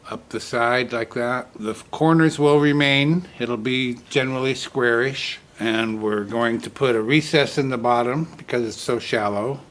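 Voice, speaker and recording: A man's voice: mid-range voice, a bit bright. A man hosting a woodturning demonstration, talking casually in a folksy, plainspoken way as he explains each step. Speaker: woodturning channel host and instructor. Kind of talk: casual workshop demonstration talk.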